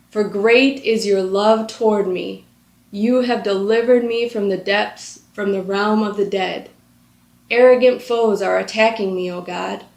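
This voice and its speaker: A woman's voice: medium pitch, creaky, darkish tone. A woman in her thirties hosting a Bible study, reading a psalm aloud and then explaining it in an earnest, conversational way with frequent filler words. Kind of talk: scripture reading and devotional teaching. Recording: echoey room.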